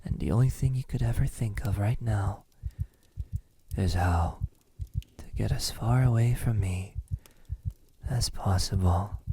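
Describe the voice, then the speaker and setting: A man playing a possessive, teasing vampire in an intimate ASMR roleplay, speaking softly and breathily with frequent drops into a whisper. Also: fairly low pitch, exaggerated voice. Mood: menacing, possessive. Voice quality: breathy, often dropping to a whisper. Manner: slow, menacingly tender, teasing. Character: possessive, teasing, lonely male vampire in his castle.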